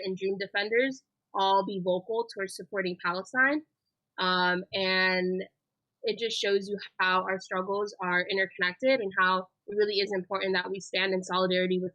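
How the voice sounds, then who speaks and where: A woman's voice: medium pitch, sharp tone, no echo, muffled recording. A woman in her twenties, raised in the United States, speaking earnestly as an interview guest, with frequent ums and uhs. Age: 20s. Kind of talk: casual spoken interview.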